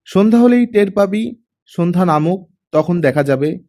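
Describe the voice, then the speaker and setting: A man's voice: sits high, lively intonation, moderate pace, dark tone, pitched above the performer's own voice. A man voicing an easygoing, knowledgeable male character in an audiobook, talking informally. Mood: teasing.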